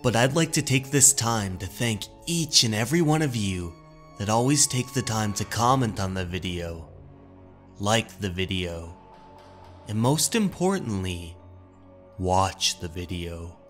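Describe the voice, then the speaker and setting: A man narrating in a measured, deliberate way, as the host of a horror story channel. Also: on the low side, expressive, fairly bright tone, soft background music.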